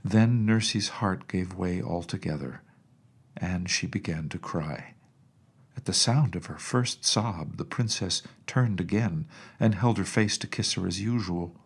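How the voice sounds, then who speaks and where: Deep, gravelly man narrating a storybook. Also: unhurried. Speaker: audiobook narrator. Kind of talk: expressive scripted storybook narration.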